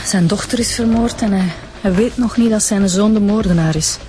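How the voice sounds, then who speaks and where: An actress playing a keen, outspoken police detective in a radio drama, talking naturally with a Flemish accent. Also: female voice, mid-range voice, normal speed, background noise, natural voice. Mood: sympathetic.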